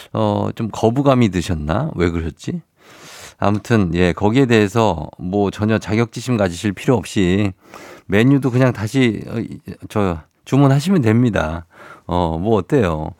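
A man hosting a radio show, reading news items and chatting with a guest in a calm, measured way. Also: lowish voice, no echo, clean studio sound. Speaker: radio host.

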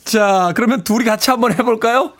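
A man hosting a radio show, presenting and chatting with callers in a lively way. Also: very high voice, brisk. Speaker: radio host.

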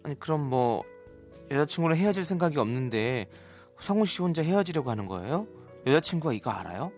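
A man's voice: on the high side, sing-song, brisk, a little mellow, soft background music. A man voicing a blunt, easily flustered woman of thirty-two in a radio story reenactment. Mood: indignant. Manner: flustered, prim, indignant. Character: blunt, easily flustered 32-year-old single woman telling her story.

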